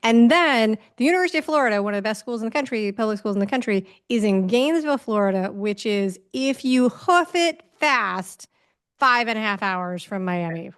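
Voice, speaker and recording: A woman's voice: mid-range voice, quick pace, hardly any pauses, clear, resonant tone. A woman hosting an interview in a conversational style, chatty and lightly amused, slipping in explanatory asides. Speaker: interview host.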